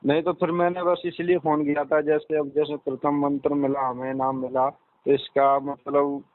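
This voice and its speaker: A man talks casually as a caller seeking advice, in a hesitant, deferential and halting way. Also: a little high, flat delivery. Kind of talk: casual phone conversation. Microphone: phone call. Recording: dry, tinny phone-line call recording.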